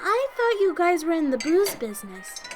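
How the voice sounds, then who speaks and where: A woman playing a plucky, chatty young cat woman in an audio drama, squeaky and eager, rambling a little. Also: very animated, moderate pace, over music. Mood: curious.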